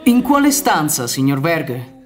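An actor playing a terse, quietly delighted village mechanic in an Italian radio drama. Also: male voice, fairly high pitch, very animated, unhurried, faint music behind. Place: theatre stage, radio drama recording.

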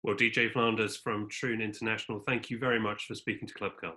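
Man, fifties, interviewer, bright clear tone, polite, halting questions, video call. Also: fairly low pitch, level tone. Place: living room at home.

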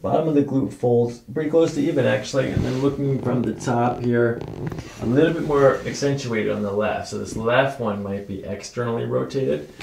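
A middle-aged man talks a patient through a hands-on chiropractic exam. His voice is deeply creaky and his directions are soothing and instructive. There is faint noise behind him. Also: darkish tone, low-quality recording. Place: small carpeted treatment office.

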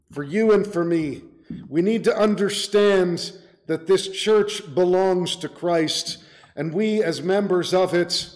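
High-pitched middle-aged male preacher. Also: darkish tone. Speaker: pastor preaching a sermon. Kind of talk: sermon and closing prayer. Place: small church room, indoors.

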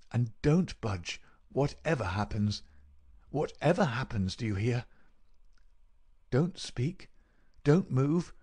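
A man voicing an ailing male detective in an audiobook, feeble but lucid, crisp and masterful. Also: mid-range voice, darkish tone, voice pushed up. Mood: urgent. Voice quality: feeble, slightly raised. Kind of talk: audiobook character voice.

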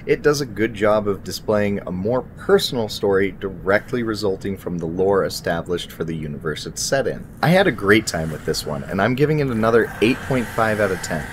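A man's voice: lowish voice, lively intonation, normal speed, hardly any pauses, a little hiss or hum. A young man presenting a scripted video game review in an articulate, presentational way. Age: young adult. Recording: music behind the voice. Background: background music.

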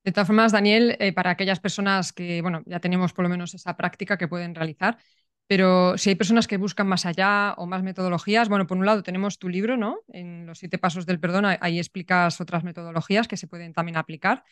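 A woman's voice: fairly low pitch, steady tone, quick pace, clear, resonant tone, dry recording. A woman in her forties interviewing conversationally as a podcast host, speaking into a close desk microphone. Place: home room with bookshelves, indoors.

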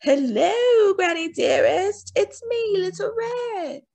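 A woman's voice: very high-pitched, animated, slow, gravelly, darkish tone, pitched above the performer's own voice, heavily acted. A woman voicing a storybook wolf who is posing as a sweet little girl, sugary and coaxing. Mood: falsely sweet.

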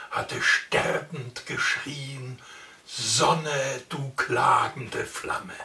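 An older man reading a long poem aloud in a theatrical, expressive way, indoors in front of the camera. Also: mid-range voice, echoey room, recorded from a distance. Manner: expressive, theatrical, varies loud and soft. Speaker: reader of a poem. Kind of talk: slow dramatic poetry reading. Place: indoor room, plain wall.

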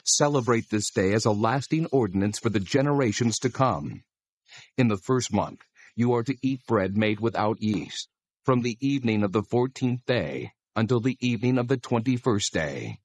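A man playing God as a solemn, authoritative deity, giving measured instructions in a dramatized scripture reading. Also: lowish voice, lively intonation, no echo. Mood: solemn, commanding.

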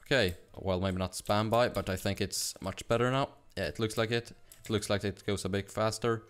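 A young man from Sweden with a Swedish accent, chatting fast and rambling with laughs while narrating his gameplay. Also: fairly low pitch, steady tone, smooth, soft background music. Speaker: gaming YouTuber. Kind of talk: casual gameplay commentary.

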